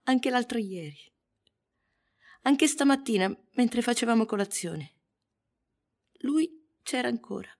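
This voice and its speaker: An actress playing a grieving, dazed mother in a radio drama, speaking in a halting, intimate and gentle way. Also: a little high, animated. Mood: grieving, tender.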